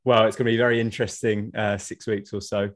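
Low-voiced man hosting a video call interview. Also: level tone, quick pace. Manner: brief agreeing interjections, friendly wrap-ups. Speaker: podcast host.

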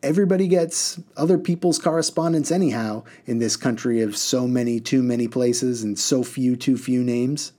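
A man's voice: mid-range voice, moderate pace, hardly any pauses, mellow, lightly acted. A man voicing a reckless, gleeful male mail coach driver who bellows and rambles in a singsong way.

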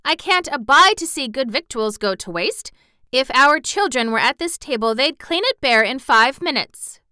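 Give me a woman playing a young Yorkshire maid, higher and louder than her own voice, rattling on in broad dialect, outspoken, clear and crisp. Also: lively intonation, normal speed, no room sound, clean studio sound. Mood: indignant. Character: chatty good-tempered young Yorkshire maid.

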